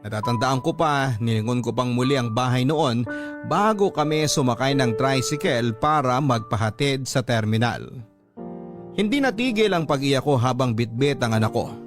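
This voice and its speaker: A man reading a listener's letter as a radio drama host, in measured, sentimental storytelling. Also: mid-range voice, animated. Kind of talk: dramatic first-person letter narration. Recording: music bed under narration. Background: clear background music.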